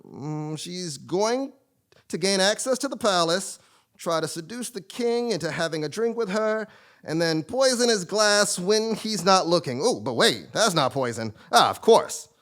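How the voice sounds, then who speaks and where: Guy acting as a kooky high voiced fortune teller sage, super animated, hammy stage comedy. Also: heavily acted. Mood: mystical pondering. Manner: melodramatic, with drawn-out pondering hums and sudden cries. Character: eccentric, theatrical self-styled male sage and fortune teller. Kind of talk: comic stage acting before a live audience. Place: theatre stage, live performance.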